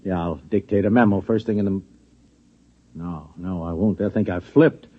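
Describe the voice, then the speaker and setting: An American actor playing a flustered, middle-aged lab security chief in a 1950s radio drama, stammering, insistent and coaxing. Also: male voice, sits low, moderate pace. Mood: flustered, weary. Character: flustered, pleading security chief of an atomic laboratory. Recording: muffled old radio recording.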